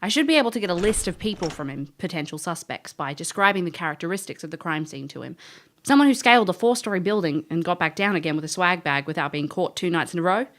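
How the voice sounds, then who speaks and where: A woman's voice: lowish voice, expressive, fast. An actress playing a cocky, blunt female elf detective in an audio drama. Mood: matter-of-fact. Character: brash, wry female elf detective, ex-military. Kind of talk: audio drama dialogue and first-person narration.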